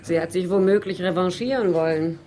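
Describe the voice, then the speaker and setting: Actress as teasing, capricious mistress. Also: female voice, fairly low pitch, fairly quick, dark tone, lightly acted. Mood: teasing, consoling. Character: flirtatious, teasing, coolly capricious mistress of a married man. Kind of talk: German radio crime drama acting.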